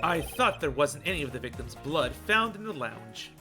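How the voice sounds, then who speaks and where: A man voicing a poised, confident game prosecutor in a formal, theatrical, deliberate manner for a fan dub. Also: a little high, sing-song. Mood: defiant. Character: poised, confident male prosecutor in a mystery game, arguing his case. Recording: music behind the voice.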